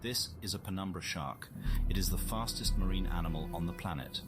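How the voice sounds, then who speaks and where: A man playing a grave wildlife documentary narrator, reading a script in a measured, solemn way. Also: sits low, loud music behind the voice, natural voice. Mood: measured, suspenseful.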